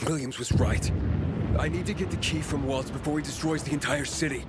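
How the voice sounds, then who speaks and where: A man's voice: fairly high pitch, fairly quick, heavy background noise, natural voice. An actor playing a determined, urgent young male survivor in a video game. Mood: grim resolve. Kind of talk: video game dramatic acting. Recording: game music behind the voice.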